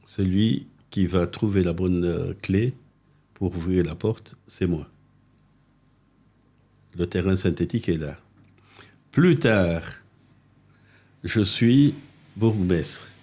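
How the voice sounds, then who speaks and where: A husky, fairly low-voiced older man speaks. He is a mayor telling animated stories as a podcast guest, circling back and restarting his sentences as he goes. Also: slow, pauses often. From Congo. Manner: animated anecdotes with restarts and asides. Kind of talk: casual interview storytelling. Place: living-room podcast set.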